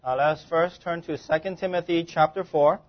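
A male church interpreter with a fairly high-pitched voice renders a sermon phrase by phrase. He sounds formal and halting, and the audio is dull and lo-fi. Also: steady tone.

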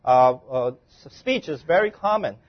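A man interpreting a sermon phrase by phrase, with formal church wording and a halting delivery. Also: medium pitch, lively intonation. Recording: dull, muffled lo-fi sound.

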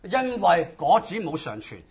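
A man's voice: sits high, big pitch swings, a little slow, slightly rough. A man preaching in short phrases, exhorting listeners with rhetorical questions. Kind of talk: sermon in short phrases with pauses for interpretation. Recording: dull, lo-fi audio.

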